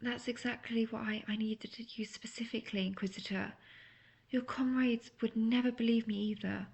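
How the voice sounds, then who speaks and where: Female actor as scheming captive witch for fantasy audio drama: seductive, intimate, husky and breathy, with heavy vocal fry. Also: on the high side, steady tone, moderate pace, darkish tone. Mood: timid, secretive. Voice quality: breathy, often near-whispered. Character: manipulative, seductive imprisoned witch feigning innocent helplessness.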